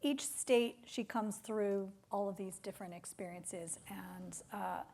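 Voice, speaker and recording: A woman's voice: medium pitch, measured pace, vocal fry, darkish tone. A woman interviewing on stage in a literary conversation, tentative and searching as she rephrases her questions. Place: theater stage.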